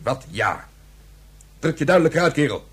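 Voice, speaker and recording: A man's voice: a little high, very animated, normal speed, breathy edge, heavily acted. A man playing a curt, domineering colonel in a science fiction radio drama, barking clipped orders. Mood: stern, demanding. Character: curt, domineering military colonel in space invasion.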